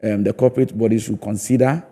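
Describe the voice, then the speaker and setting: A man's voice: lowish voice, fairly even intonation, quick pace, mellow, husky. A man with a Ghanaian accent speaking conversationally as a guest in a TV interview, often repeating phrases for emphasis. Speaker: theatre play maker and comedy performer, interview guest.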